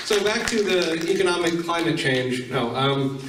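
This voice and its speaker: A man's voice: on the high side, expressive, normal speed, recorded from a distance, background noise. A man hosting a live panel, talking casually to the room at a convention. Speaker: panel host. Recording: roomy hall, picked up from afar.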